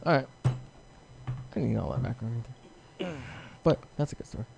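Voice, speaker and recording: A man's voice: medium pitch, animated, normal speed, creaky, background noise. A man chatting casually about video games as a co-host, blunt, profane and prone to wandering off on tangents.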